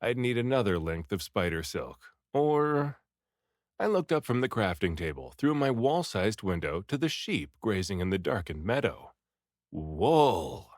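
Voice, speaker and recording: Animated low-voiced man reading a story. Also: normal speed, dry recording, studio quality. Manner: animated, theatrical delivery with acted dialogue. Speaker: audiobook reader. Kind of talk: expressive story read-aloud.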